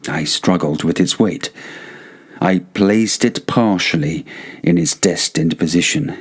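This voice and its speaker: A man reading a gothic story as narrator, theatrical and deliberate, with pauses between phrases. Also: sits low, breathy edge.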